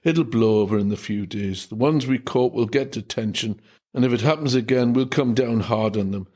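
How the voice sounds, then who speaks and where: A man voicing a calm middle-aged priest who serves as deputy head of a school, speaking in a level, soothing way. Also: mid-range voice, normal speed, lightly acted.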